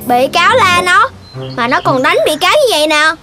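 An actor voicing a playful, mischievous young cartoon dog in an excitable, childlike way, with music behind. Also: very high voice, fairly quick. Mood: indignant.

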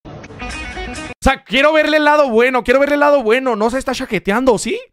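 A man's voice: extremely high pitch, brisk, music in the background. A Mexican man streaming, reacting to clips in joking, crude casual commentary.

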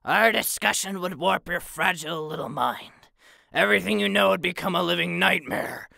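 An actor voicing a bloodthirsty, menacing little filly in a cartoon parody, with a gravelly, creaky voice. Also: mid-range voice, normal speed, bright, forceful tone, no echo, studio quality. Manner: theatrically menacing.

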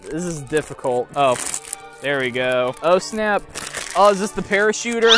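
A young man with a fairly high voice rambles through a toy unboxing, cracking deadpan jokes and stumbling over fillers. He's recorded on a lapel mic outdoors by a field. Soft music plays faintly underneath. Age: young adult. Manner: rambling, self-mocking, halting with many fillers. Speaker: YouTuber unboxing toys. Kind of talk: jokey deadpan unboxing vlog.

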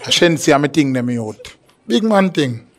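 Laid-back young man, slightly high voice. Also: very animated, normal speed. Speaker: dating show contestant. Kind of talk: casual chat. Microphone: handheld mic.

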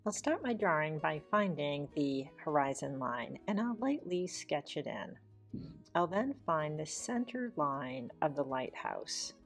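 A woman teaching watercolor painting, explaining each step in a measured, plain voice-over. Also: low-pitched, big pitch swings, gravelly. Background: soft guitar music.

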